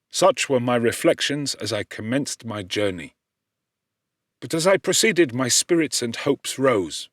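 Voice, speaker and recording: A man narrating a classic novel as an audiobook in a measured, formal and expressive way. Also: mid-range voice, no echo, clean studio sound.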